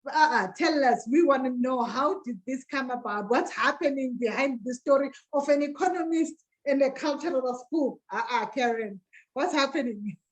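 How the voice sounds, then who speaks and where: A high-pitched woman hosts a casual online interview. She is upbeat and exclamatory, and speaks to her listeners and guest as familiar friends. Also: moderate pace, low-quality recording. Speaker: host of an online show interviewing African women.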